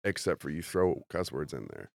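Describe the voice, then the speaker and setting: A man's voice: rumbling low, big pitch swings, moderate pace, gravelly, darkish tone, no echo. A congested man, a comedian guest, rambling through jokey stories in a casual podcast chat.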